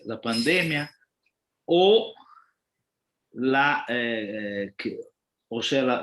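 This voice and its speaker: A man, a parent whose first language is not Spanish, asking a question haltingly and searching for words on a video call. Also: mid-range voice, a bit bright.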